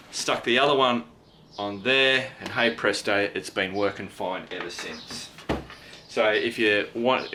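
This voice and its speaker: A man's voice: fairly low pitch, pushed and bright, slight background noise. An Australian man giving a casual hands-on product review in a blokey, slangy, mildly sweary way. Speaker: product review video host.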